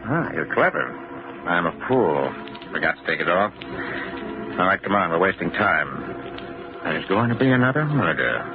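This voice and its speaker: A man's voice: low voice, sing-song, moderate pace, long pauses, music in the background. An actor playing a shrewd, commanding man posing as a detective, confident and clipped, in an old-time radio drama. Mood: menacing. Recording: muffled, very noisy old radio recording.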